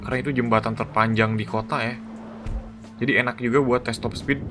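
Excitable slang gaming guy over music. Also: medium pitch, a little fast. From Indonesia. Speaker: gaming YouTuber. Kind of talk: casual gameplay commentary. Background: background music.

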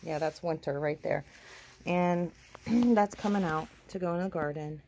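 Slow-talking woman on a farm walk. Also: on the low side, creaky, a little mellow, a little hiss or hum. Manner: chatty, talks sweetly to animals, unsure of breeds. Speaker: vlogger touring her farm. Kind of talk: casual walking tour narration. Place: outdoors on a farm among animals. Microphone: phone camera held while walking.

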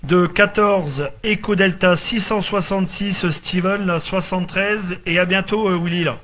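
A man with a bright, forceful, fairly high voice talks casually over amateur radio. He repeats small reassuring phrases as he goes, with some background noise on the recording. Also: fairly even intonation, unhurried. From northern France. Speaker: radio operator making contacts.

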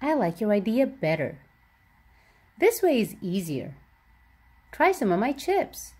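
A woman's voice: on the high side, very animated, unhurried, mellow, slightly rough, heavily acted. A woman voicing eager young schoolchildren in a picture book, spirited and chanting. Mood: friendly. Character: eager young schoolchildren rallying friends to play.

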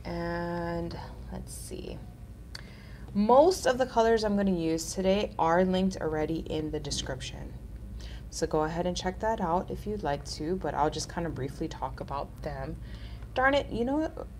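A woman's voice: lowish voice, animated, measured pace, a little mellow, slight background noise. A woman in her thirties hosting a casual live nail art tutorial, chatty and upbeat, sounding nasal from a cold.

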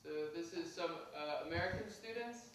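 A man teaching English in a classroom, talking to students in short, simple, halting phrases. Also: high voice, a bit bright. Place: echoey classroom. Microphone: distant camera. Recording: very echoey, distant, noisy classroom recording.

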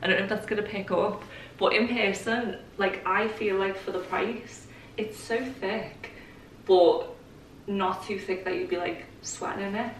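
A woman's voice: mid-range voice, fairly even intonation, unhurried, slight background noise. A young woman, bubbly and laughing easily as she talks through clothes with lots of fillers. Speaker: fashion vlogger. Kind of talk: casual chatty haul. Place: bright bedroom with clothes rail. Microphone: camera mic from across the room. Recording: roomy echo, mic at a distance.

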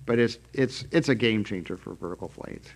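A middle-aged man giving an unscripted press briefing from slides at a trade expo, halting often with fillers and restarts. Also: on the low side. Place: conference room at a trade expo.

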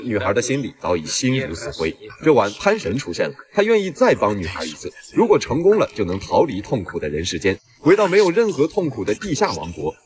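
A man tells a scripted story as a film recap narrator. He moves along quickly with a very animated, varied intonation. Film sound with other voices runs behind him. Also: mid-range voice.

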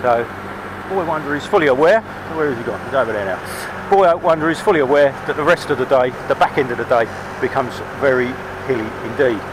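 A man's voice: mid-range voice, animated, fairly quick. Chatty man vlogging from his bike. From England. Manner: chatty, upbeat, rambling asides. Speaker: cycle touring vlogger. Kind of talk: casual talk to camera while cycling. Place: outdoors on country roads and a canal towpath. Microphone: action camera on the bike or held at arm's length. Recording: outdoor action-cam audio with wind noise.

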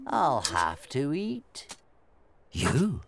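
A woman voicing a greedy, boastful male rat who is a highway robber, in a picture-book read-aloud. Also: huge pitch swings, loud music behind the voice, heavily acted. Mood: swaggering. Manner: swaggering, drawn-out storybook reading.

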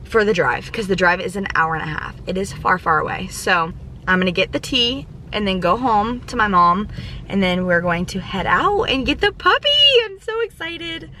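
A woman's voice: medium pitch, bright, forceful tone, slight background noise. A woman of twenty-one chatting casually to camera from the driver's seat of a car, bubbly and full of fillers. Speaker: vlogger. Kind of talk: casual vlog chat. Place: inside a parked car. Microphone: camera on the dashboard.

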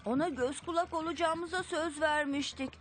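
A woman's voice: high voice, steady tone, normal speed, slight background noise, lightly acted. A woman voicing a responsible, determined young rabbit in a children's cartoon, earnest and firm. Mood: regretful.

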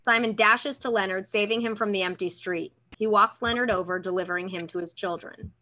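Young woman reading stage directions on a video call, bright clear-cut voice. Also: medium pitch, fairly even intonation, a little fast. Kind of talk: scripted narration. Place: room at home.

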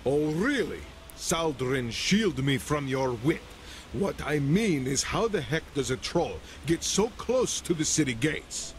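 A prickly devout desert guard. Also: male voice, fairly high pitch, very animated, a little slow, hardly any pauses, slight background noise. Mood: grudging. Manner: prickly, insulting, theatrical. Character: irritable male desert-born city guard, devout. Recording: game audio under music.

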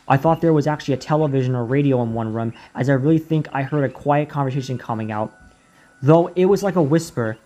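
A man reading a horror story aloud in a slow, deliberate way, now and then stumbling over a word and restarting it. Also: fairly high pitch, a little mellow. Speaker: narrator. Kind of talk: creepypasta reading.

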